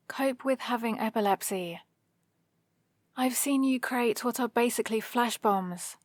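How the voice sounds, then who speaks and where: Slow-talking sardonic British villainess, acted by a woman. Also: fairly high pitch, dry recording. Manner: dry, teasing, sarcastic. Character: sardonic female supervillain grudgingly caring for her injured hero rival. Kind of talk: ASMR roleplay, close and unhurried.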